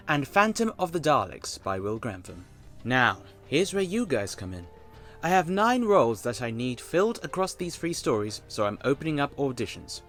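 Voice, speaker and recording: A man's voice: mid-range voice, fairly bright tone. A man narrating a scripted casting call for a fan audio drama, articulate and formally worded with chatty asides.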